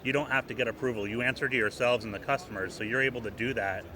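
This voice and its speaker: A man's voice: medium pitch, fairly even intonation, quick pace. A man co-hosting a casual interview at a trade show, chiming in with conversational commentary. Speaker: co-host. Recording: noisy busy venue background.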